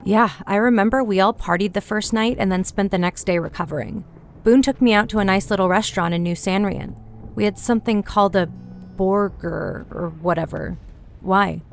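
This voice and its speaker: A woman's voice: medium pitch, normal speed, gravelly, slight background noise, close to an everyday voice. A woman playing a curious, teasing friend in a fantasy audiobook, speaking in a confiding, inquisitive way. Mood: chatty, recalling. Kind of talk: fantasy audiobook dialogue over music.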